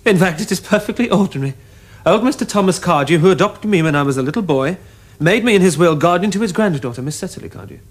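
High-pitched, indignant young Victorian gentleman. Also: animated, quick pace. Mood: lofty, exasperated. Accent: upper-class English. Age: young adult. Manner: formal, haughty, clipped. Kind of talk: period stage comedy acting. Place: furnished studio drawing-room set.

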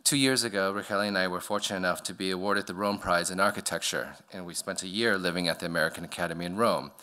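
A middle-aged man with a very low, bright-edged voice lectures at a podium mic in a darkened auditorium. He speaks with frequent pauses, ums and restarts as he walks through his slides. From born in Vietnam, raised in the US. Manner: hesitant, many fillers and restarts. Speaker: architect giving a talk. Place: dark auditorium stage at a podium.

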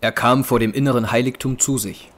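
A man narrating a scripted dramatic story in a measured, solemn way. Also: mid-range voice, steady tone, a little hiss or hum. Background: music.

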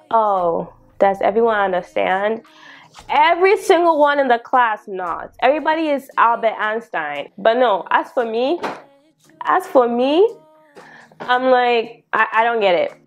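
Animated young woman with a Ghanaian accent. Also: lo-fi sound. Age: 20s. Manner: animated and expressive, laughing often, exclaiming in sudden high bursts. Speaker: vlogger. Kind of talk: casual storytelling to camera. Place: small bedroom studio indoors. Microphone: lapel mic.

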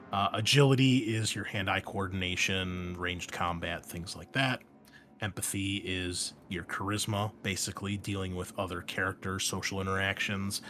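A man casually explaining tabletop game rules as a host, talking informally and directly to the listener. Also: low-pitched. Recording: noticeable background noise.

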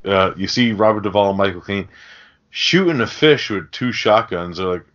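A man's voice: on the low side, moderate pace, a bit bright. A man co-hosting a podcast, chatting casually about films in an informal, sweary way. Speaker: podcast co-host. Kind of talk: casual film chat. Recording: dull, lo-fi sound.

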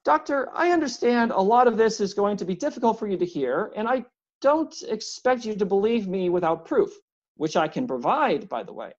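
Storybook figure: narrator's take on a huge man with a timid, apologetic manner, pitched up, muffled recording. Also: very animated, normal speed. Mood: polite pleading. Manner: apologetic, courteous, pleading. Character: big polite anxious man, professor.

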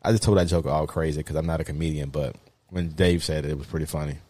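Low, dry-sounding man chatting casually. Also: fairly even intonation, fast, dark tone, dry recording. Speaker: podcast co-host. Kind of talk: casual chat, anecdotes.

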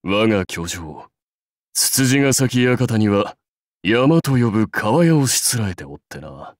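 A proud old-school samurai warlord for a game, lowish voice, really slow and stately, kinda wry. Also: male voice, big pitch swings, dry recording, clean studio sound. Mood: wry, playful. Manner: stately, measured, archaic lordly speech. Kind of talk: scripted game character lines.

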